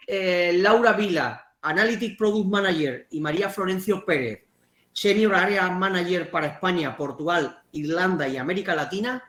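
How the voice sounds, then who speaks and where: High-voiced man hosting a webinar. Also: fairly bright tone, reverberant. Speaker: webinar host. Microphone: video call.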